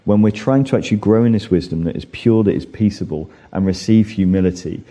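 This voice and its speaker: A man's voice: lowish voice, a little fast, dark tone. A man preaching a sermon in an earnest way, lifting his voice for emphasis and acting out imagined inner voices. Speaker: pastor.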